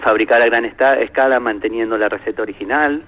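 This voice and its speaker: A man with an Argentine accent speaks hesitantly, restarting sentences and filling gaps with "eh". His voice sits at an ordinary middle pitch. The sound is dull and lo-fi. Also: fast, faint music behind. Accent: Argentine Spanish. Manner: hesitant, many fillers and restarts. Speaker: guest, sauce maker.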